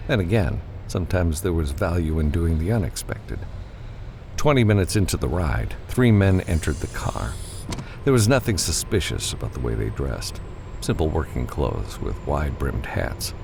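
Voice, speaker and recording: Male audiobook narrator, deep creaky voice, lively intonation, scripted fiction, faint noise behind.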